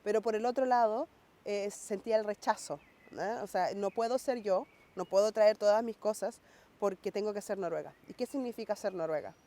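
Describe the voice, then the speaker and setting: A woman's voice: mid-range voice, moderate pace, warm tone. A woman raised in Norway, reflecting in a documentary interview and speeding up when she quotes her parents.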